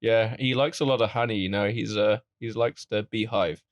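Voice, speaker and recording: A man's voice: lowish voice, steady tone, normal speed, no room sound, highs cut off. A man co-hosting a podcast in a loose, joking chat, laughing often. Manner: jokey, silly, laughs often. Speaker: podcast co-host. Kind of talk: casual banter.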